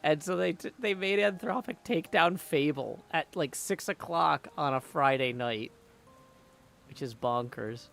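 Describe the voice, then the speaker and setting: A man hosting a live game stream in a casual, rambling way, with a creaky voice. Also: on the high side, unhurried, fairly bright tone, faint music behind. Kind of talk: casual livestream chat.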